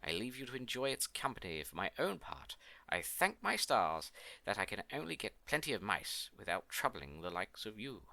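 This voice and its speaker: A man's voice: fairly low pitch, sing-song, moderate pace, creaky, sharp tone, dry recording, heavily acted. A man voicing a mean-tempered, greedy little old woman from a storybook in a haughty, demanding manner. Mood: enraged, scornful. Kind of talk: storybook character voice.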